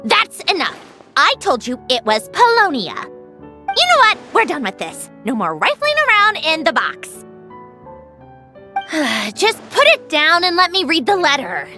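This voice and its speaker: A woman's voice: high-pitched, wildly swooping pitch, a little slow, bright, forceful tone, soft background music, full character voice. A woman voicing a proud, prickly, easily embarrassed young clockwork doll in a video game, curt and defensive. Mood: flustered, annoyed. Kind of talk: video game character acting.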